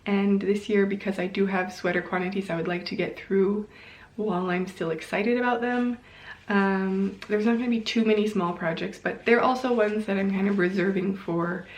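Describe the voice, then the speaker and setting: A woman vlogger chatting casually to camera, thinking aloud in a rambling way and correcting herself as she goes, with a creaky voice. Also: medium pitch, steady tone, normal speed. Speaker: knitting vlogger and host. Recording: roomy, echoing indoor sound.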